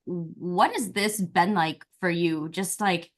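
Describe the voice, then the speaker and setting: Woman, from Virginia, interview host, effusive, filler-heavy, lowish pitch. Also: steady tone, takes it slowly. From Virginia, USA. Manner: effusive, gushing, many fillers like "like" and "you know". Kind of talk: casual interview chat.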